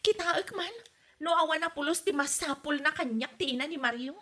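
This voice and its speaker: A woman's voice: high voice, a little fast, sharp tone. A woman with an Ilocano accent playing an exasperated, complaining young wife in a comedy drama. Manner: complaining, emphatic. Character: exasperated young wife fed up with meddling mother-in-law.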